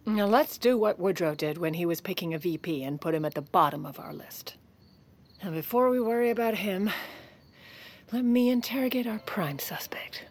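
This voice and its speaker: An actress playing a suspicious, commanding First Lady, brisk and sardonic, in an audio comedy drama. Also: medium pitch, animated. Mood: dry, scheming.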